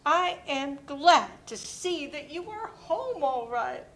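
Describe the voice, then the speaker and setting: A woman playing a warm, fussy, motherly older neighbour in a dramatic play reading, doting and fretful as she chats. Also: high-pitched, sing-song, takes it slowly, a little hiss or hum, full character voice. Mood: concerned.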